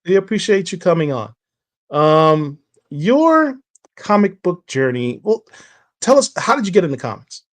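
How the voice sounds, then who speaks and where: A man's voice: high voice, very animated, measured pace. A middle-aged man from Brooklyn hosting a casual interview, friendly and jokey, putting his guest at ease. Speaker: host. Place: room full of comics.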